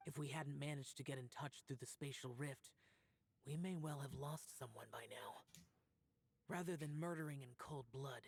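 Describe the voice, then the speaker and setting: A solemn young guardian warrior from a fantasy game. His mid-pitched voice is husky and breathy, and he speaks in terse, measured, stern phrases like one resigned to duty. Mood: grave warning. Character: stern, self-sacrificing young male guardian warrior spirit. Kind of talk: video game voice acting.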